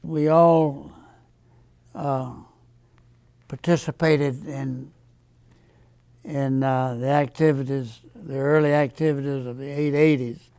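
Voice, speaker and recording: A man in his eighties speaking in a measured, reflective way in a documentary interview. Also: mid-range voice, fairly even intonation, dark tone, smooth, dry recording. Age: 80s.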